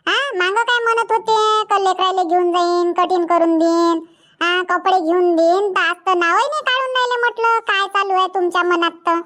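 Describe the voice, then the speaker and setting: Cartoon village wife, acted out: very high, bright, nagging, Varhadi Marathi accent. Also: steady tone, a little fast. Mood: reproachful. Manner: complaining, reproachful. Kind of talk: cartoon comedy dialogue.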